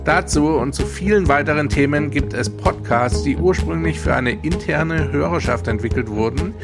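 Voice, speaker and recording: A man reading a scripted podcast intro and disclaimer in a smooth, promotional announcer style. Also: a little high, moderate pace. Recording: voice over guitar music.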